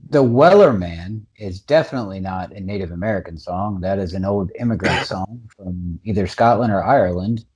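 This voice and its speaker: A man co-hosting a podcast in casual chat, excitable and swearing constantly. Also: low-pitched, moderate pace, hardly any pauses, breathy edge, rough recording. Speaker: podcast co-host.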